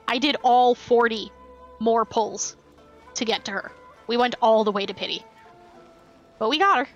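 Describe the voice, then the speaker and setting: A woman's voice: on the high side, a bit bright. A woman livestreaming a game, chatting casually and cutely to her viewers as she plays. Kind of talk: casual livestream chat while playing.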